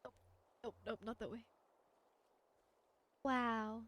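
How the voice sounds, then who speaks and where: A woman chatting casually on a livestream as she plays, answering viewers in an expressive, encouraging, effusive way with a mezzo voice. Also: a little high, slow pace. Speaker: VTuber live streamer. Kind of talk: casual livestream chat while gaming, answering viewer comments.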